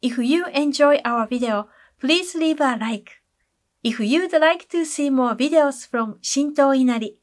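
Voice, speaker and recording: Middle aged lady w japanese accent, kinda high voice, measured priest explaining stuff to camera, pretty dry room. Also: no room sound. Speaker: Shinto head priest, video host. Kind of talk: prepared explanatory talk to camera. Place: small indoor curtained backdrop, close dry sound.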